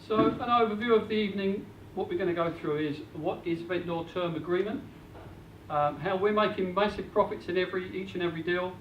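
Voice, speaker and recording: Man, high voice, seminar presenter, muffled lo-fi recording, faint noise. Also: brisk. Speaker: property investment speaker. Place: event hall. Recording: roomy, distant, dull lo-fi with faint noise.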